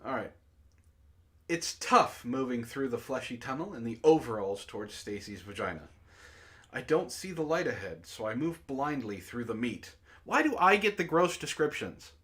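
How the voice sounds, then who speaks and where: A man's voice: mid-range voice, sing-song, normal speed, pushed and bright. A man hosting a book club, reading prose aloud dramatically and breaking off into exasperated asides.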